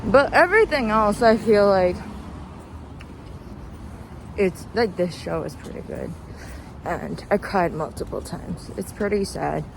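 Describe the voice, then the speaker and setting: A woman's voice: mid-range voice, expressive, unhurried, pauses often. A young adult woman giving casual, rambling commentary as a vlogger, informal and hesitant, with trailing thoughts, recorded outdoors while walking. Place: outdoors, walking along a street. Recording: noisy outdoor recording with traffic ambience. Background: clear street and vehicle noise.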